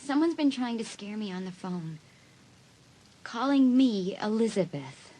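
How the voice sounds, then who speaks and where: An actress playing a troubled, uneasy teenage schoolgirl with a husky voice in an audio drama. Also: medium pitch, expressive, unhurried, warm tone, rough recording.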